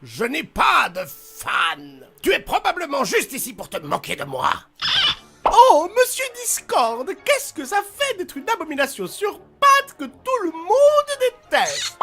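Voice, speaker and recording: A performer voicing a theatrical, grandiose chaos spirit in an animated cartoon dub, mocking and self-pitying, bitter and wounded. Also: very high voice, slow, soft background music, heavily acted. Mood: wounded, sarcastic.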